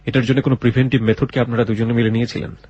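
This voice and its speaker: Male radio host firing quick follow-up questions, kinda husky. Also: mid-range voice, little pitch movement, darkish tone, lo-fi sound. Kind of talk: probing interview questions.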